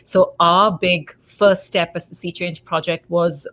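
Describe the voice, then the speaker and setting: A woman from India, a conservation journalist, answering interview questions in a measured, thoughtful way. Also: lowish voice, steady tone. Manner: measured and thoughtful, hedging with soft fillers.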